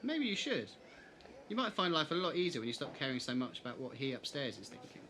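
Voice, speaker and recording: A man's voice: mid-range voice, very animated, fast talker, background noise, lightly acted. A man playing a candid, easygoing, teasing young man in a fan audio drama. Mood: frank, teasing.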